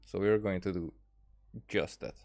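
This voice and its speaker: Slow, halting male game streamer, lowish voice. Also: fairly even intonation, darkish tone. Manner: rambling, halting, trails off mid-thought. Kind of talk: casual livestream chat over gameplay.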